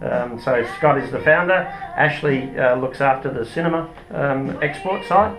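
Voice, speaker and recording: A man's voice: mid-range voice, normal speed. Australian man in a roomy, echoing space. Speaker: company product manager being interviewed. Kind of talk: informal product interview. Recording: roomy echo with music behind.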